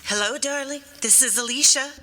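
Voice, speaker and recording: Woman acting a high, very bright clingy sweetheart. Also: fairly quick, a little hiss or hum. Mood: sweet. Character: clingy, adoring young fiancée, sweetly insistent. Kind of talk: old-time radio play acting. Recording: stage performance in reverberant hall.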